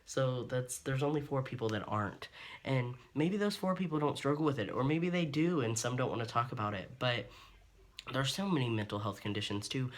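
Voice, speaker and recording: Young guy from ohio in his 20s, kinda low voice, rambly and open, lots of ums. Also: a bit bright. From Ohio, USA. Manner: earnest, open, rambling with frequent ums and restarts. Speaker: vlogger. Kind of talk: candid personal monologue to camera.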